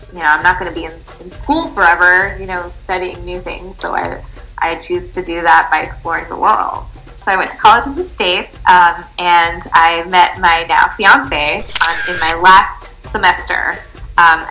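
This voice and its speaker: Chatty woman in her late twenties, bright voice. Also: fairly low pitch, poor audio. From Switzerland. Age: late 20s. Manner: chatty, upbeat, many fillers. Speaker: contestant. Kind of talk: casual pre-game interview.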